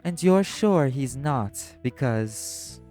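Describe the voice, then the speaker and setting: An audio-drama socialite of the criminal underworld, acted by a man, apathetic and guarded. The voice sits at a medium male pitch and moves deliberately, in measured phrases. Music plays clearly behind it. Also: expressive, warm tone. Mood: tense, probing. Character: apathetic socialite crime-club lieutenant, guarded and questioning. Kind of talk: dramatic audio roleplay acting.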